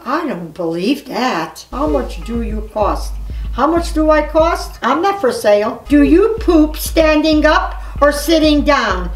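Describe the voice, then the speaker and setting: An elderly woman reading messages aloud and commenting casually in a blunt, scolding, animated manner. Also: high-pitched, a little slow. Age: 70s or older. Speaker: grandmother reading messages for a family video channel. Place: living room, indoors in an armchair. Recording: echoey room, distant mic, music.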